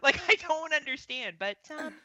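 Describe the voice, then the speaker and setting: A woman's voice: high-pitched, animated, moderate pace, bright, forceful tone, muffled recording. A woman from Ontario with a Canadian accent, chatting casually as a podcast guest and laughing often. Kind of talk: casual chat.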